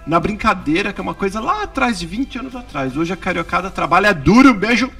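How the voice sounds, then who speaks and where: A man's voice: sits high, sing-song, fast talker, bright, forceful tone, husky, soft background music. A Brazilian man hosting a casual business interview, joking and teasing his guest. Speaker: host.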